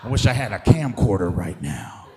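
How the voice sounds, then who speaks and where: A man performing a profane stand-up set, telling animated stories with acted-out bits. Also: fairly low pitch, normal speed. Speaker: stand-up comedian, special guest. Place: live show on a stage before an audience. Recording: roomy live stage sound.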